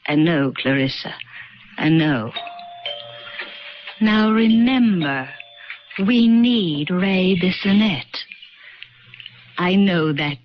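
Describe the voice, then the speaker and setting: An actress playing an ancient, sly witch who poses as a woman, in vintage radio drama style, with slow and insinuating delivery. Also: lowish voice, lively intonation, faint music behind. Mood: weary, muttering. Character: ancient female witch posing as old woman and young temptress. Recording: muffled noisy vintage radio recording.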